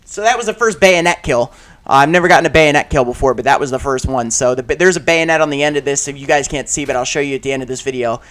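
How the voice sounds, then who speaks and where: A man with a raspy voice rambling casually about game controllers while playing a shooter, with many fillers and restarts and sudden loud outbursts at the game. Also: mid-range voice, fast. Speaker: gaming channel host.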